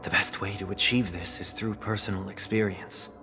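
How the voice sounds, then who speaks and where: A male actor voices a refined, disciplined man in a dramatic video game scene. His pitch sits on the low side and his delivery is measured, formal and earnest. Music plays underneath. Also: steady tone, lightly acted. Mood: calm, resolute. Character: refined, introspective man of rigid, stately discipline. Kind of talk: scripted dramatic game dialogue.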